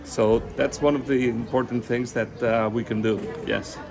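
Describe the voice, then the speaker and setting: A man's voice: medium pitch, steady tone, moderate pace, vocal fry, a little mellow, rough recording. A man from northern Spain with a Spanish accent, explaining his work as an interview guest. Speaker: interview guest, garden designer. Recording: crowd and urban sounds behind.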